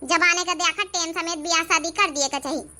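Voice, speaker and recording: A woman's voice: very high voice, steady tone, fairly quick, bright, forceful tone, heavily acted. A woman voicing a scolding, fretful village mother in an Awadhi-accented cartoon comedy, nagging and complaining. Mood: nagging, insistent.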